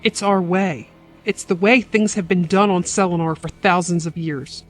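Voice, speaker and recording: A woman's voice: on the low side, steady tone, moderate pace, close to an everyday voice. Woman acting a cold strict obedient mom, firm voice, audio drama with music under it. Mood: cold, resolute. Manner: firm, commanding. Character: stern, obedient wife and mother, coldly resolute.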